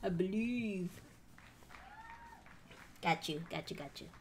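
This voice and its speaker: A woman's voice: fairly low pitch, animated, slow, slight background noise. A woman in her thirties, a native Tagalog speaker, chatting casually on a live stream in a giggly, self-mocking way. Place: home room, indoors. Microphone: headset, webcam framing.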